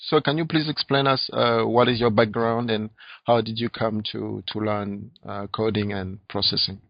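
A man's voice: fairly low pitch, steady tone, normal speed, vocal fry, dry recording. A man from Cameroon hosting an interview, speaking hesitantly with many restarts and fillers. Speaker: podcast host. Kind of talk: casual interview. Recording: muffled lo-fi, dry.

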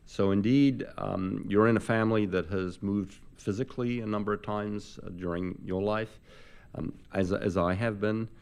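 An older man interviewing a guest in a conversational way, halting, with many fillers and restarts. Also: lowish voice, fairly even intonation, vocal fry, darkish tone, clean tone. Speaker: interviewer, professor.

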